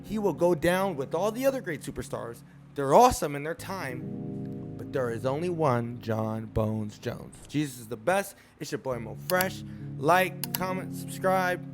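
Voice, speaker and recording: A man giving a casual, animated opinion vlog and repeating phrases for emphasis, with music playing behind him. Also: on the high side, moderate pace. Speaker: sports commentary YouTuber.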